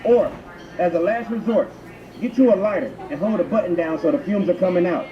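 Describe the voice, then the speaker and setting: A man's voice: sits high, brisk. A man delivering crude comedic mock tutorials in a profane, slangy, instructional manner. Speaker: prank tutorial narrator. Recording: echoey, muffled, noisy intercom playback. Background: public transit ambience.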